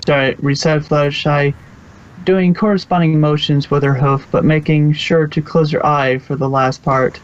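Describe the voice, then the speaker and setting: A man's voice: fairly high pitch, fairly even intonation, moderate pace, lo-fi sound. A man reading scripted storybook narration for a fan fiction audio production.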